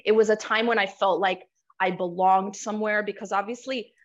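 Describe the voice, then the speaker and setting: A young woman from the United States speaks as a podcast guest at a middle pitch. She tells her story reflectively, restarting often and repeating words. The audio is a little dull. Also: steady tone, a little fast. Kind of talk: personal storytelling in an interview. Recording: slightly muffled podcast audio.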